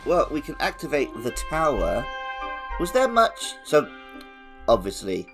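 A man's voice: a little high, huge pitch swings, a little slow, vocal fry, music in the background. A man co-hosting a video game podcast in an informal, slangy, conversational way. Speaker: podcast co-host. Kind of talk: casual video game chat.

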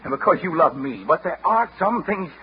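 An actor playing an earnest, exasperated young gentleman suitor in a vintage radio drama. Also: on the high side, animated, fairly quick, lightly acted. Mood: angry, insistent. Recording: muffled, noisy old radio broadcast.

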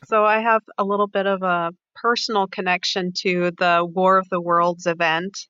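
A woman's voice: lowish voice, flat delivery, normal speed, pushed and bright, clean tone, no room sound, muffled recording. A woman chatting casually as a podcast co-host and sharing personal stories. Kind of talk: casual chat, personal storytelling.

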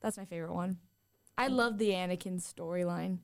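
A woman's voice: lowish voice, a little fast, vocal fry. A young woman from Southern California co-hosting a podcast in casual banter, playful, informal and slangy. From Southern California, USA. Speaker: podcast co-host.

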